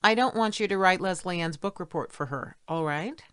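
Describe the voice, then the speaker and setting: A woman's voice: fairly low pitch, a little fast, a bit bright, smooth, no echo, lightly acted. An actress in an audio play playing a middle-aged single mother, a struggling writer who is fretful and self-deprecating. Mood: preoccupied.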